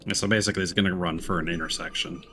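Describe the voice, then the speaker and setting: Low-voiced man streaming a game. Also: rapid-fire, bright, forceful tone, a little hiss or hum. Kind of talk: casual let's play commentary. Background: game music.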